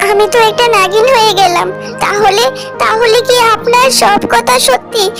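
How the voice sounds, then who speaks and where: A woman's voice: extremely high pitch, steady tone, normal speed, vocal fry, loud music behind the voice. A woman voicing a tearful, pleading eight-year-old snake maiden in a fairy-tale cartoon. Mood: shocked.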